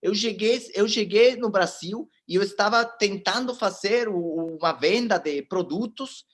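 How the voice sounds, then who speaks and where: A man in his forties with a fairly high voice, speaking a language that is not his first. He gives a motivational talk as an animated storyteller. He often turns to his listeners and addresses them directly. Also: unhurried. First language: not Portuguese.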